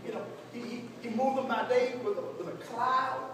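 A man's voice: very high-pitched, steady tone, moderate pace, crowd noise. A man speaking as a pastor leading a church workshop, preaching and teaching emphatically, repeating phrases and seeking responses from his listeners. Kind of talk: preaching-style teaching to a live group. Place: church meeting room with seated audience. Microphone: distant camera among the audience. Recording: echoey hall, distant mic, noisy room.

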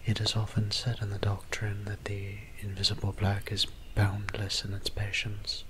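A man playing a soft-spoken, melancholy vampire priest in an ASMR roleplay, musing in formal, old-fashioned words with a breathy near-whisper. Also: fairly low pitch, completely flat delivery, moderate pace, noisy background, natural voice. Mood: brooding, self-reproaching. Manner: formal, archaic, musing diction.

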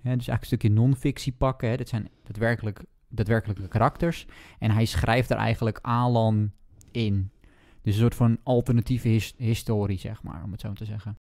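A man co-hosting a book podcast in casual conversation, talking in a halting way and rephrasing as he goes. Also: fairly low pitch, fairly even intonation, darkish tone.

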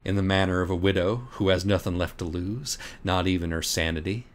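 Man, fairly low voice, scripted comic narration, mock-dramatic, scornful, profane. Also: fairly even intonation, brisk. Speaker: audiobook reader.

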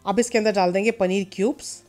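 Woman, mid-pitched, calm cooking-show voice-over with light music behind. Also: quick pace. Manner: calm step-by-step instruction, mixing in English words. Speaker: cooking channel host. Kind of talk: recipe voice-over.

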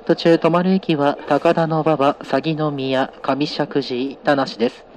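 A man's voice: fairly high pitch, steady tone, slow, a little hiss or hum, poor audio. A man working as a train conductor, making formal, polite announcements to passengers over the train's PA.

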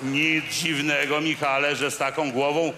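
A man's voice: a little high, little pitch movement, normal speed, lightly acted. An actor playing a stout, pompous old nobleman knight who blusters in old-fashioned speech in a satirical radio play. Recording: old radio recording with room reverb and faint hiss.

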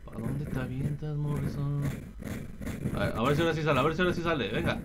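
A man's voice: fairly high pitch, fast. A man with a Mexican accent doing casual, slangy, foul-mouthed game commentary, talking to the characters as he plays. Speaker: gameplay video host playing a wrestling game. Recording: very noisy home recording.

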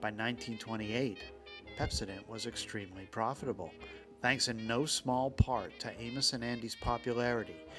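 A man's voice: mid-range voice, normal speed. A man delivering scripted documentary narration as the host. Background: music.

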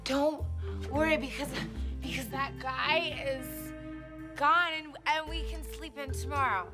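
A woman's voice: high-pitched, measured pace. An actress playing a giddy, drunk blonde woman with memory loss, talking in a slurred, rambling, childlike way. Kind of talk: TV drama acting. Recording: music score behind dialogue.

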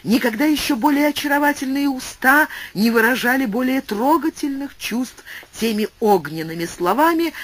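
A man reading a classic philosophical tale aloud as an audiobook narrator, with expressive, swooping delivery and shifting pace. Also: sits high. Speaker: audiobook reader.

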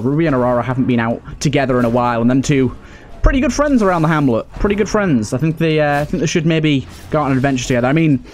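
A man giving casual let's play commentary, thinking aloud and repeating phrases for emphasis. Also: a little high. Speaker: gaming video host.

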